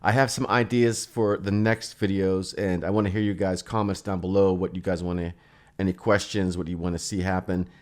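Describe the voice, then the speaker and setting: A man giving a casual, rambling software demo, thinking aloud as he goes. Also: deep voice, flat delivery, brisk. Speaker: plugin reviewer and host.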